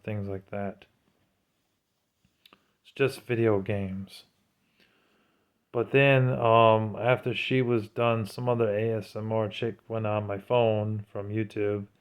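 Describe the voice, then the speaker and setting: A man's voice: fairly low pitch, darkish tone, clean tone. A man speaking casually and earnestly to viewers as a vlogger, giving admonishing religious testimony.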